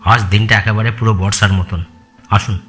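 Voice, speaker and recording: An actor playing an older man, a loyal employee, brisk and plain-spoken, in a Bengali audio story. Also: fairly low pitch, fairly even intonation, a bit bright, soft background music, lightly acted. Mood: matter-of-fact. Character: Jashoda, older man, rural businessman's loyal longtime employee.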